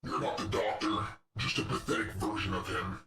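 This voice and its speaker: Guy doing a cocky evil robot henchman for a game, taunting and fiery, bright hard voice, echoey and off the mic. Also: on the low side, normal speed, far from the mic. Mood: contemptuous. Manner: taunting, smug, condescending. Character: menacing arrogant male robot henchman, fiery and sadistic. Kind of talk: video game villain voice lines. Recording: echoey room, off the microphone.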